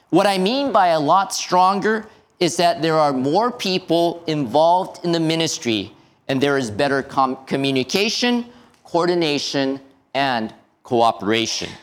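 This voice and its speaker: Slow, measured male pastor preaching. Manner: slow, measured preaching with lively pitch and drops at phrase ends. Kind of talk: sermon.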